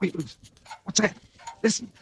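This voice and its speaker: A man's voice: moderate pace, slight background noise, natural voice. An actor playing a desperate amnesiac man wanted for murder in a 1940s radio thriller, urgent and insistent, on an old radio recording. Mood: intense, searching. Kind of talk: 1940s radio thriller acting with first-person narration.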